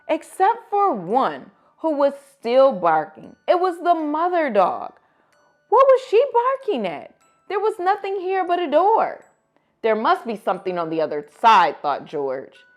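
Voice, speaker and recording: A woman reading picture books aloud to children as host, with expressive, dramatic emphasis. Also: medium pitch. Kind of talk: animated storybook read-aloud for children.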